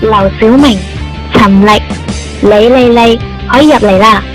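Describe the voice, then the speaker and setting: A woman's voice: on the high side, unhurried, over music, lightly acted. A woman playing a formal, polite office interviewer in an amateur radio drama, with a Hong Kong Cantonese accent. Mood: brisk, polite. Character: formal female office interviewer, later a hostage.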